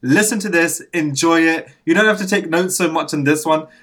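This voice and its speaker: A man hosting a podcast remotely from London, gushing and excitable, his voice slightly croaky after a coughing fit. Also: a little high, brisk. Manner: excitable, gushing, laughs often. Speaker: podcast host. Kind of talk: enthusiastic podcast interviewing. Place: home studio in London. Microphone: remote recording.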